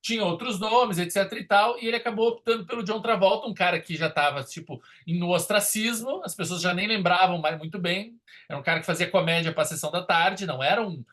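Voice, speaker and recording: A man's voice: sits high, fast talker, fairly bright tone. A man with a Brazilian accent co-hosting a film podcast, talking casually in an enthusiastic, rambling way.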